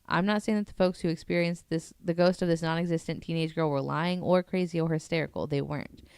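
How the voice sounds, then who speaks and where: A woman's voice: deep voice, fairly even intonation, quick pace, darkish tone, clear, resonant tone, no echo, clean studio sound. A woman talking casually and informally as a guest on a podcast, walking through what she has read. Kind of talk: casual podcast talk, presenting and paraphrasing an article.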